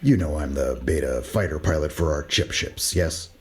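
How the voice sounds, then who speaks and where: A starship lieutenant with an extremely deep voice, played by a man, delivering his report in a steady, matter-of-fact way. Audio drama acting. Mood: briefing. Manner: measured, matter-of-fact briefing. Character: lieutenant and fighter pilot on a starship.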